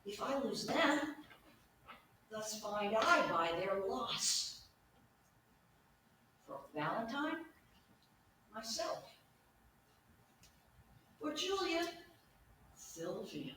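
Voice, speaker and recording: A woman as a brooding, scheming young gentleman, very echoey. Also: a little high, very animated, full character voice. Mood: torn, brooding. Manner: deliberate, declamatory. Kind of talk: Shakespeare soliloquy, stage acting. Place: indoor staged reading, recorded from audience distance. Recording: echoey hall, distant mic, faint noise.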